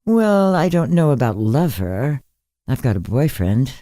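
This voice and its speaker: A tentative young woman read by a male narrator in an audiobook story. She is kindly but awkward and apologetic, with a soft, mellow tone and a dry, close sound. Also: mid-range voice, sing-song, measured pace, dry recording, pitched above the performer's own voice. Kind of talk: literary story character reading.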